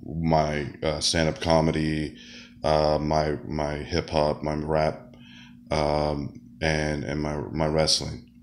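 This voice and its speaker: A man talks in a loose, rambling monologue, full of fillers and false starts. His voice sits very low because he is sick. Now and then he coughs between phrases. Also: monotone, takes it slowly, a little hiss or hum. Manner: rambling, many fillers and restarts. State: sick, deep voice. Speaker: wrestler and comedian. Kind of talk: casual monologue.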